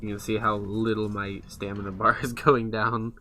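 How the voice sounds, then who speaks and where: A man with a low, very bright and forward voice talks through his gameplay in a casual, rambling way. Game music plays softly behind him. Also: flat delivery, moderate pace. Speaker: gaming video host. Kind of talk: casual let's play commentary.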